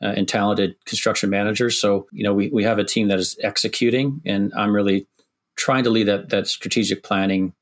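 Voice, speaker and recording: A middle-aged man from Wisconsin with a Midwestern accent softened by years in Canada, answering interview questions thoughtfully with many restarts. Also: on the low side, steady tone, a little fast. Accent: Midwestern American, softened by years in Canada. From Wisconsin, USA. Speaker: construction executive guest.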